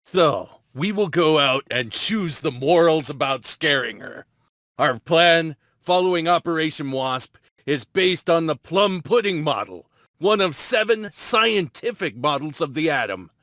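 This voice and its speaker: Guy doing a scheming scientist doctor, lightish higher voice, explains his plan, dry sound. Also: lively intonation, unhurried, fairly bright tone, dry recording. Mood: lecturing. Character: scheming male scientist doctor in a fantasy drama. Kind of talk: amateur script table read.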